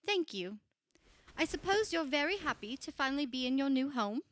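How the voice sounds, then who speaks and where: A woman's voice: high voice, big pitch swings, moderate pace, voice pushed up. A woman playing a polite, genteel lady caller in an old-time radio drama, formal and courteous. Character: polite, sociable English lady neighbour, Miss Roberts.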